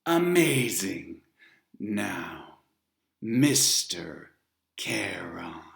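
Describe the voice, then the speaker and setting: Male reader voicing a cold, elegant ferryman of the dead; slow, silky, condescending, foreign lilt, low voice, echoey room. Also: big pitch swings, creaky, fairly bright tone, full character voice. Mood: mocking.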